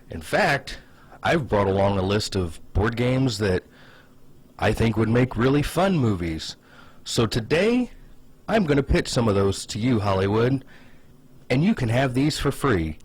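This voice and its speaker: Wry man with lively, swooping pitch. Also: slightly rough. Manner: wry, sarcastic, opinionated. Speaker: video host pitching movie ideas. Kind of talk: casual scripted comedic commentary to camera.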